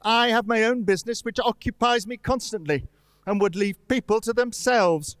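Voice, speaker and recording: An actor playing a scornful, bitter old miser in a radio play, with curt, grumbling delivery. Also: male voice, high-pitched, normal speed, fairly bright tone, clear, resonant tone, dry recording. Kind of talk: stage radio-play acting.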